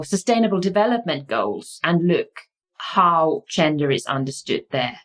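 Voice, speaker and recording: A woman with a Finnish accent gives a lecture in a low voice. She talks thoughtfully and often pauses on fillers and restarts her phrases as she searches for the words. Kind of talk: explaining lecture.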